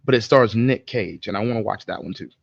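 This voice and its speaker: A man's voice: on the low side, a little fast, gravelly, no room sound, highs cut off. A man podcast co-host chatting casually, opinionated and emphatic, swearing freely as he makes his points. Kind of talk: casual chat about video game movies.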